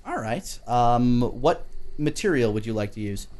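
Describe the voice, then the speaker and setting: A man's voice: mid-range voice, lively intonation, moderate pace, darkish tone. A man narrating a tabletop game as game master in a casual, conversational, improvised way. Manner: conversational, easygoing.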